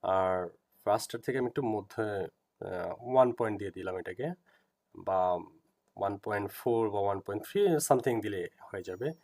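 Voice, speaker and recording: A man with a Bangladeshi accent giving an informal software tutorial, explaining step by step and repeating himself as he teaches. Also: on the low side, normal speed, pauses often.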